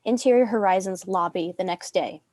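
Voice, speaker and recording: A woman's voice: medium pitch, a little fast, darkish tone, no room sound. A young adult woman reading scripted stage directions as a narrator.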